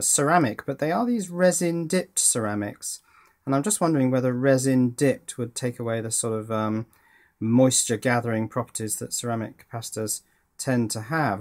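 A British man in middle age with a mid-pitched voice talks casually through hands-on work. He thinks aloud as he goes, pausing and hesitating like a hobbyist presenting at his own workbench. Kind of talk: casual hands-on project narration. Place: indoors at a workbench.

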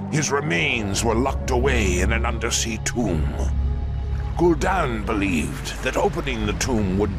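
A man voicing a weary, haunted old orc warlock, telling his tale solemnly in a fantasy game. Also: on the low side. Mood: grave, haunted. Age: older. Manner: solemn, slow storytelling. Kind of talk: dramatic fantasy game acting.

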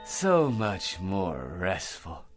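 An actor playing a cocky, argumentative middle-aged bore who rambles and stammers smugly in a radio drama. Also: male voice, sits low, huge pitch swings, extremely slow, soft background music, exaggerated voice. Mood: self-satisfied. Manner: rambling, stammering, self-pleased, chuckling.

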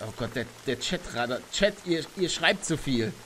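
A young man talks freely on a live game stream, reading chat aloud and reacting with bursts of excitement. His voice sits a little high for a man and comes through a close studio mic. Faint rain from the game hangs in the background. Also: unhurried, slight background noise, poor audio. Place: home streaming room, indoors. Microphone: studio mic on arm near face.

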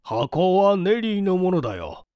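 A man voicing a firm older uncle in a storybook, speaking in a blunt, measured, familiar manner. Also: high-pitched, very animated, dark tone, no echo, clean studio sound, voice pushed up.

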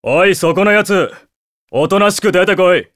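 A man playing an arrogant young demon warrior in an anime game, gruff, curt and scornful. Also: high-pitched, animated, normal speed, fairly bright tone, no room sound, clean studio sound.

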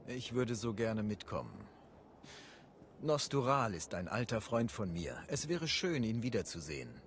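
Low, wistful companion. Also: male voice, big pitch swings, measured pace, a little hiss or hum. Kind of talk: German video game voice acting.

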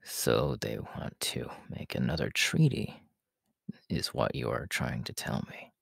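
A man playing a proud, menacing, world-weary demon king in an ASMR roleplay, talking in a deliberate, haughty way that often sinks into breathy whispers. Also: very deep voice, very animated, no room sound, clean studio sound, exaggerated voice. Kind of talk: ASMR audio roleplay acting.

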